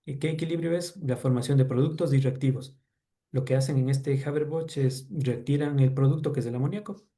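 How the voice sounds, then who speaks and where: A man with an Ecuadorian accent and a mellow, dark-edged voice of ordinary pitch. He answers questions about his research in an academic seminar. His delivery is informal and explanatory. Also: a little fast. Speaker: doctoral student presenting research.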